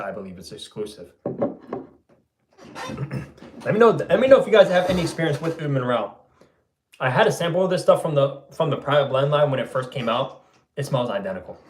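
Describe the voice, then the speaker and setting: A young man hosting a fragrance livestream and reading out viewer comments in an easygoing way, his voice going a little hoarse. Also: medium pitch, roomy sound. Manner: laid-back, loose, says bro and man a lot. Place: room at home.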